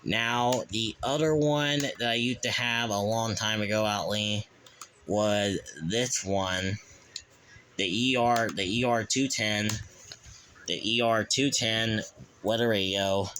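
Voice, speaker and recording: Rambling, halting man with a bright voice. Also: lowish voice, fairly even intonation, slight background noise. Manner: rambling, halting, many restarts and fillers. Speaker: channel host. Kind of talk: casual collection show-and-tell.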